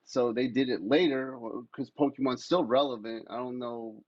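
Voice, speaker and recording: Male podcast co-host, average pitch, blunt and sweary, dry but dull-sounding mic. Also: steady tone, moderate pace, no echo. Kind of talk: casual opinionated podcast debate.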